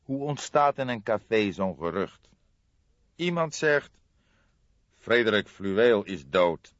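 A man voicing a calm, sombre old police inspector in an audiobook, speaking in a measured, thoughtful way. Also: lowish voice, no echo, dull audio, voice pushed up. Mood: cautious, reasoning.

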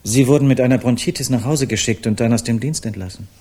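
An actor in a German radio play playing a polite Parisian artist, formal, deliberate and matter-of-fact. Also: male voice, medium pitch, dark tone, breathy edge, natural voice. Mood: polite recounting. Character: polite, measured Parisian artist recounting a friend's past.

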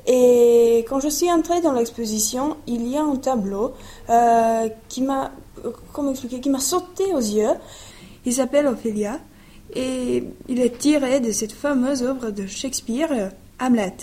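A woman's voice: a little high, a little slow, mellow. A woman radio presenter reading an introduction and giving an enthusiastic review of an exhibition. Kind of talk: read narration and review.

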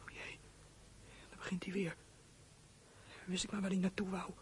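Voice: medium pitch, monotone, normal speed, a little hiss or hum, lightly acted. An actor playing a nervous, frightened teenage boy in a Dutch radio drama, stammering and defensive. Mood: miserable, brooding whisper. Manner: stammering, hesitant.

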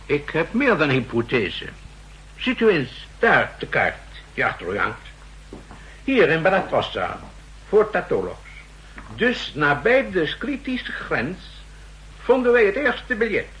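Slow, high-voiced, emphatic official. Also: male voice, wildly swooping pitch, a bit bright, heavily acted, poor audio. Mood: assured, insistent. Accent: Netherlands Dutch. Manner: deliberate, declamatory. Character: emphatic, self-assured male official presenting his evidence. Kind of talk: 1960s radio drama acting.

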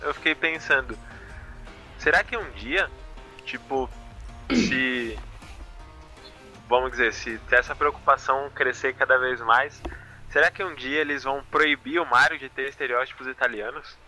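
A man's voice: medium pitch, moderate pace, pauses often, bright, forceful tone, soft background music. A man with a Brazilian accent chatting casually as co-host of a live show. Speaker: podcast co-host.

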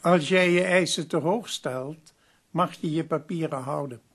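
The polite, canny visiting publisher of a period radio play, husky and unhurried as he does business at a bedside. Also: on the high side. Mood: shrewd, firm. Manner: measured, businesslike. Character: courteous, shrewd male publisher visiting sick author. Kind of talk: radio drama narration and dialogue.

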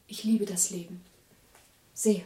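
An actress in a German radio play, playing a frank, good-natured wife of an engineer. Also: female voice, lowish voice, fairly even intonation, slow, warm tone, echoey room, rough recording. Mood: hesitant, sincere.

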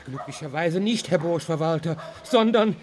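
A man's voice: on the high side, animated, normal speed, slight background noise, natural voice. An actor playing a fussy, easily alarmed male schoolteacher in a children's radio play, agitated and helpless. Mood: anxious.

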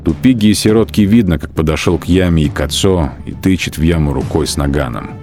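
A man narrating a literary radio drama in measured, emphatic short phrases. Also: very deep voice, a little mellow. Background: music under the narration.

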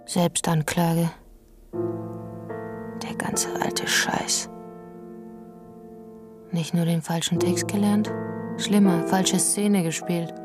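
A woman's voice: fairly low pitch, steady tone, extremely slow, pauses often. An actress in a radio drama playing a disillusioned, self-accusing woman in a bitter inner monologue, partly whispered. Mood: bitter, resigned. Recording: voice over loud piano music.

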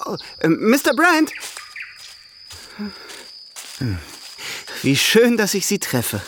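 Effusive, lively period gentleman. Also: sits high, normal speed, slight background noise, full character voice. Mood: eager, polite. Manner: effusive, polite, insistent. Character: talkative, earnest, well-meaning gentleman in a period story. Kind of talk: German radio drama acting.

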